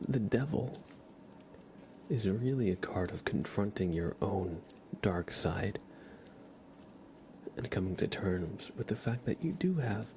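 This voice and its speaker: A man hosting an ASMR livestream, whispering softly and slowly to his viewers in chat, close to the microphone. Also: fairly low pitch, lively intonation, slight background noise. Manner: soft, slow, unhurried, chatting to viewers. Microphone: close microphone, leaned into.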